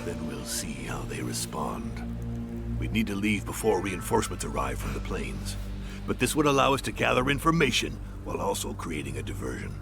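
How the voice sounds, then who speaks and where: An actor playing a stern, skeptical council leader in a fantasy game, speaking with commanding authority. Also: male voice, sits low, big pitch swings, fairly quick, creaky, long pauses, music in the background, heavily acted. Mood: calculating. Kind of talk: dramatic fantasy game acting.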